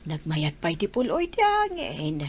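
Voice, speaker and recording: A woman playing an affectionate, unhurried older village auntie in an Ilocano radio drama. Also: fairly low pitch, huge pitch swings, breathy edge, heavily acted. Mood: fond.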